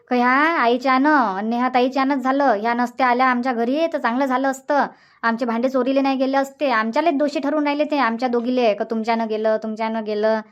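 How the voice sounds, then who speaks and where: A woman's voice: fairly high pitch, muffled recording, natural voice. A woman playing a fretful, regretful village sister in a cartoon comedy, plaintive and repetitive, with a Varhadi Marathi accent. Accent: Varhadi Marathi of Vidarbha. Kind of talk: dialect cartoon comedy dialogue.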